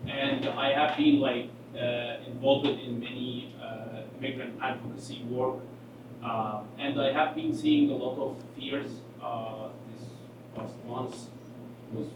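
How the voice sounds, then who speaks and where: A man's voice: mid-range voice, big pitch swings, takes it slowly, noisy background. Distant hesitant man in an echoey room. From Egypt. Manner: hesitant, many fillers. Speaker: audience member asking a question.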